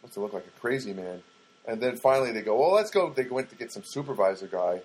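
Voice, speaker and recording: A man's voice: mid-range voice, animated, quick pace, darkish tone, a little hiss or hum, low-quality recording. A middle-aged man casually telling a rambling, wry personal story and acting out what people said. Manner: rambling, wry, acts out quoted lines.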